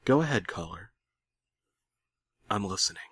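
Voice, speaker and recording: A man as a quiet, measured radio psychiatrist. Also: on the low side, lively intonation, slightly rough, dry recording, studio quality, heavily acted. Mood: calm. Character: composed, impatient male radio psychiatrist, eerie parody.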